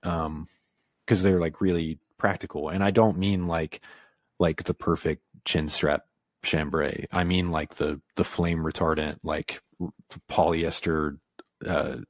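A man's voice: very deep voice, fairly even intonation, unhurried, no room sound, studio quality. A man in his thirties from the American South, a podcast guest talking casually, rambling with many fillers and restarts. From Southern United States. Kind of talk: casual conversation.

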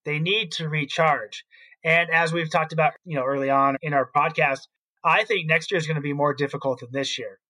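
A man's voice: on the high side, steady tone, fairly quick, pushed and bright, low-quality recording. A man co-hosting an education podcast as a school principal, explaining his opinions in casual talk.

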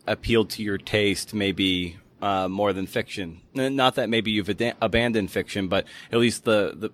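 A man's voice: lowish voice, a bit bright. A man hosting a podcast interview in casual chat, with informal, roundabout phrasing as he works toward his questions.

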